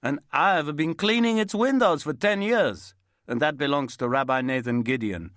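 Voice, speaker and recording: A man's voice: a little high, normal speed, a bit bright, no echo, clean studio sound, pitched above the performer's own voice, close to an everyday voice. A man voicing an older Italian window cleaner, tired and worn down, with an Italian accent. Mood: weary.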